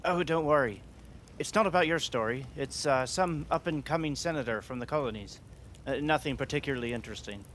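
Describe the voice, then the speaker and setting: An actor playing an eager, polite newspaper writer who talks reassuringly, in an audio drama. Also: male voice, medium pitch, fairly quick, fairly bright tone, a little hiss or hum, natural voice. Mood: friendly, apologetic.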